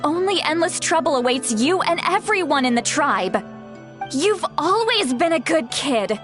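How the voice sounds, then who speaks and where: A sharp-tongued old granny from a video game, high and bright in voice. Her delivery swings widely as she nags and scolds with theatrical exasperation, though she cares underneath. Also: big pitch swings, a little slow, exaggerated voice. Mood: exasperated, stern. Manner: theatrical, indignant, nagging. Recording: music behind the voice.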